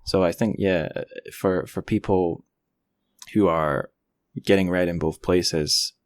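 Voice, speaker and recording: A man's voice: sits low, steady tone, slow pace, no room sound. A man hosting a podcast interview, chatting casually and thoughtfully in a hesitant, roundabout way.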